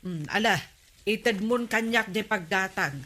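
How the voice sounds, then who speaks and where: Village auntie, Filipino accent, neighbourly haggler, unhurried and bright, radio comedy drama. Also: female voice, mid-range voice.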